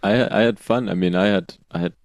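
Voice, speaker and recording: A man with a very low voice chats casually as a podcast co-host, playful and quick to laugh. His sound is dry and close, with almost no room around it. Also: steady tone, no room sound. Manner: playful, laughs often, quotes sayings. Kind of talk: casual chat. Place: home studio on video call. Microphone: video call setup.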